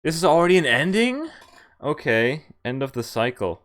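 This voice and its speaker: A man's voice: fairly high pitch, sing-song, normal speed, a bit bright. A man streaming a visual novel, thinking aloud about choices and reading the story text in first person. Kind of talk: live game commentary and reading text aloud.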